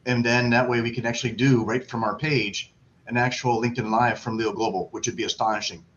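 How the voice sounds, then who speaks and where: Through muffled call audio with some background noise comes a man with a bright, forceful voice at a middle pitch. He talks casually and brashly, poking fun at himself as a livestream guest. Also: fairly even intonation, fairly quick. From Florida. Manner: brash, self-deprecating, joking. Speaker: guest on an online networking livestream. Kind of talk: casual chat. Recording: dull lo-fi webcam audio, loud background noise.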